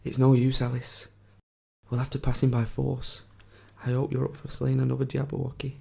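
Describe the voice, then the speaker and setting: Male actor as wry, eccentric sidekick: gravelly, medium pitch, loyal, radio fantasy. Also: monotone, normal speed, warm tone, a little hiss or hum. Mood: evasive, resigned. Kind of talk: amateur fantasy radio drama acting.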